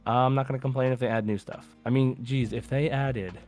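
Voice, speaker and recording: A man's voice: mid-range voice, steady tone, brisk, a little mellow, soft background music. A man hosting a casual gaming podcast, talking in an enthusiastic, rambling way. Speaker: podcast host.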